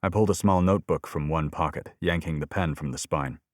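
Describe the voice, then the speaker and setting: A man's voice: a bass voice, fairly quick, no room sound, studio quality. A man reading scripted first-person crime fiction as an audiobook narrator, telling it as a burned-out detective.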